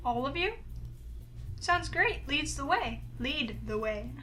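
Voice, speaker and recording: A woman's voice: sits high, animated, slow, pushed and bright, faint music behind. A woman reading a visual novel aloud, narrating and acting out the heroine's lines. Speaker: game player and narrator.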